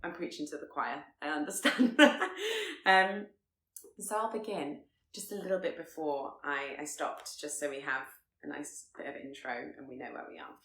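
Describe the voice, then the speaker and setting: A woman reading literary fiction aloud as an audiobook narrator, with expressive delivery. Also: sits low, moderate pace, echoey room.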